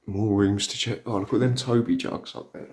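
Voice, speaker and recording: A man's voice: fairly low pitch, moderate pace, slight background noise. A man hosting an exploring vlog, walking through an empty house indoors and marvelling to his viewers as he goes. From England. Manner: excited, marvelling asides to viewers. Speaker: urban explorer host. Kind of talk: walkthrough vlog narration.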